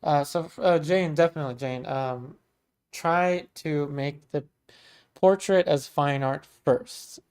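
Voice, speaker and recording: A man's voice: on the high side, slow, clean tone. A man in his thirties from Maryland, teaching painting on a casual livestream and chatting with viewers. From Maryland, USA. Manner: relaxed and chatty, many ums and restarts, warm thanks to viewers. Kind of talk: casual livestream chat, reading viewer comments.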